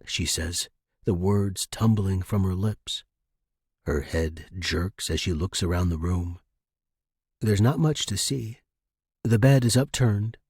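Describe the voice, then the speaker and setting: A man's voice: lowish voice, a little mellow, breathy edge, no echo, studio quality. A man hosting a fiction podcast, chatting informally and reading aloud. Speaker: podcast host and story reader. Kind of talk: casual podcast intro and dramatic story narration.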